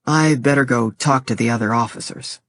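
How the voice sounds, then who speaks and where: A woman reader voicing a calm, formal male police officer with a measured way of speaking. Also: rumbling low, no room sound, pitched below the performer's own voice.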